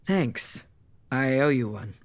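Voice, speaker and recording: An actor playing a nervous, guarded young fledgling vampire in an audio drama, speaking hesitantly. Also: male voice, medium pitch, animated, slow. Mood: grateful, subdued.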